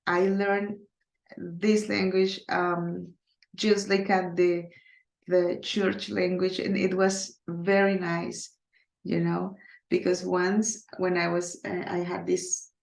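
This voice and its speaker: A woman's voice: on the low side, flat delivery, gravelly, echoey room. A woman from Colombia, a native Spanish speaker with a Colombian accent, teaching a recorded Spanish class in English with many hesitations. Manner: hesitant, many fillers, speaks slowly on purpose. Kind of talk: recorded online class, casual.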